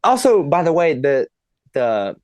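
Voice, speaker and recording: A man's voice: a little high, very animated, measured pace, a little mellow, no room sound, clean studio sound. A man in his twenties, a podcast guest, telling a long funny story in casual chat, laughing and swearing as he goes.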